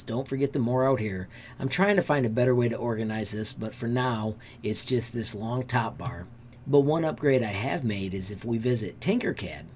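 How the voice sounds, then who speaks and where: Enthusiastic man, 30s, teacher-host, friendly mid-range voice, light room noise. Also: mid-range voice. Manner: upbeat, enthusiastic, keeps calling viewers friends. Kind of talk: channel update screen-share vlog. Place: indoor room.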